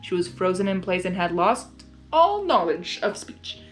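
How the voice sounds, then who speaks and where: A woman's voice: lowish voice, very animated, a little slow, faint music behind. A young woman reading a story aloud expressively and breaking in with gushing, emotional reactions. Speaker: reader of fan fiction.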